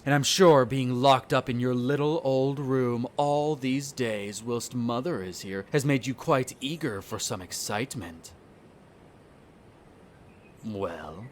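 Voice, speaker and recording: A man playing a smug, bitter vampire noble, the eldest son, in an audio roleplay, speaking in a haughty, sardonic way with drawn-out theatrical phrasing. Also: medium pitch, slight background noise. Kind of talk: scripted audio roleplay acting addressed to the listener.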